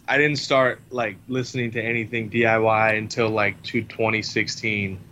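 A man's voice: fairly low pitch, flat delivery, moderate pace, bright, forceful tone, highs cut off, a little hiss or hum. A man in his early twenties from Montana, a podcast guest talking in a loose, laid-back way and swearing casually. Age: 20s. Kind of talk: casual call-in chat.

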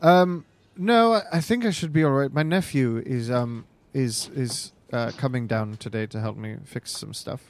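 A man playing a hesitant, apologetic, helpful apothecary shopkeeper in improvised tabletop roleplay, speaking haltingly and politely. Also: medium pitch, a little hiss or hum, close to an everyday voice.

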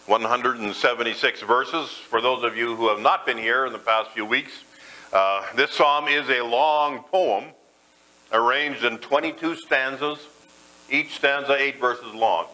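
A man's voice: mid-range voice, a little slow, sharp tone, rough recording. A man preaching a sermon in a church, folksy and self-deprecating as he mixes jokes with scripture. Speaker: pastor.

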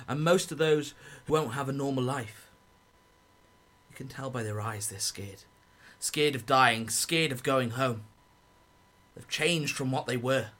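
Man playing a forceful, bitter young soldier. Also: mid-range voice. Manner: impassioned, fed up. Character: young war-weary British soldier, bitter and desperate to desert. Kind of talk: radio drama acting.

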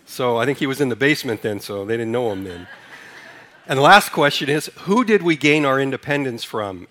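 A man's voice: mid-range voice, fairly bright tone. Man preaching a conversational sermon. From Sudan. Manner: conversational, asks the congregation questions; leads a responsive reading line by line. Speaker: pastor.

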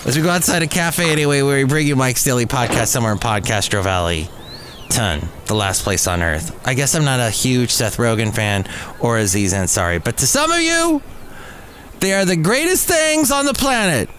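A man with a mid-pitched voice talks as a podcast host, going through entertainment news with wry, opinionated commentary. Background noise and ambience sit behind his voice. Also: expressive, moderate pace, fairly bright tone.

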